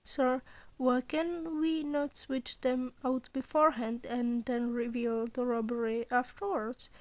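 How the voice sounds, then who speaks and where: A woman's voice: high-pitched, flat delivery, unhurried, no room sound. A woman voicing a polite, nervous teenage boy student in a story, hesitant and deferential. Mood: nervous, questioning. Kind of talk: scripted story character voice.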